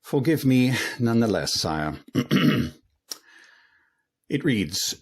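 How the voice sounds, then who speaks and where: An actor playing a duke's haughty, loyal retainer in a fantasy radio drama, with a rough voice and formal, theatrical delivery. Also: male voice, medium pitch, sing-song, very slow, darkish tone, exaggerated voice. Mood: grave, deferential.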